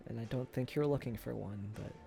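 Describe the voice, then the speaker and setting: A man playing a wistful, self-deprecating former high school sweetheart in an intimate, halting boyfriend ASMR roleplay. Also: gravelly, music in the background, natural voice. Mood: regretful, tender. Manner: hesitant, halting, chuckles through confessions.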